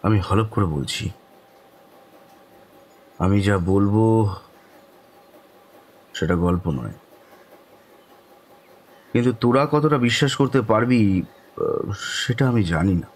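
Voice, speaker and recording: An actor playing a cheerful middle-aged club raconteur who tells horror stories in a teasing, deliberate, cryptic way. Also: male voice, fairly low pitch, lively intonation, heavily acted, low-quality recording. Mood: grave. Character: cheerful older club raconteur turning mysterious storyteller. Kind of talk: dramatised horror audio story.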